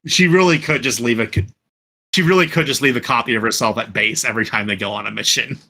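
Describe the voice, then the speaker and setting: Man, mid-pitched, bright voice, casual podcast chat, animated, questioning. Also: quick pace. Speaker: podcast co-host.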